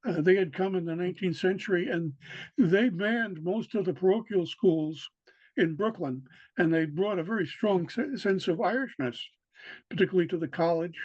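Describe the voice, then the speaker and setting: Older New man, halting speech. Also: high voice, a little mellow, dry recording. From New York City. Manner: halting, many fillers and restarts. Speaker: interviewee, local historian. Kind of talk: casual recorded interview. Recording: dry, dull lo-fi sound.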